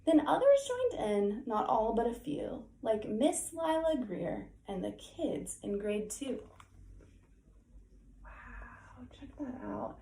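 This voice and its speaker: A woman reading a picture book aloud to children, expressive and engaged, with chatty asides. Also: on the high side, takes it slowly. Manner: expressive, engaged with young listeners. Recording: echoey room, faint background noise.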